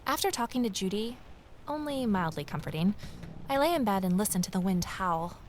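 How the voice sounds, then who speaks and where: A woman's voice: mid-range voice, lively intonation, brisk, a little hiss or hum, natural voice. A woman playing a wry, uneasy young journalist who narrates a mystery audio drama in a confiding way. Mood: brooding. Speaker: lead character and narrator.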